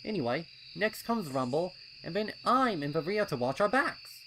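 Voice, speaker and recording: A man's voice: sits high, big pitch swings, moderate pace, noisy background, voice pushed up. A man voicing a young colt adventurer, an eager and confident little planner, in a story reading. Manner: colloquial, eager explaining.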